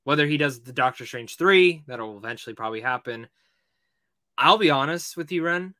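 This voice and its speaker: A man's voice: medium pitch, expressive, brisk, bright, forceful tone, no echo, studio quality. A man co-hosting a podcast, chatting casually and informally about movies. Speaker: podcast co-host.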